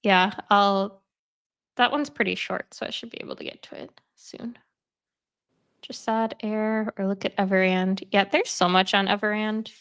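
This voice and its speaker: Gravelly-voiced woman chatting casually. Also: mid-range voice, fairly even intonation, moderate pace, a bit bright. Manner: chatty, rambling with many fillers. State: congested. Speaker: host. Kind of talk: casual chat. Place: at home, sitting in bed.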